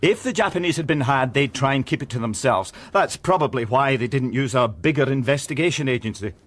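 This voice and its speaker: An actor playing an apologetic, reasoning gentleman friend, mildly awkward, in a radio drama. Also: mid-range voice, fairly quick, close to an everyday voice.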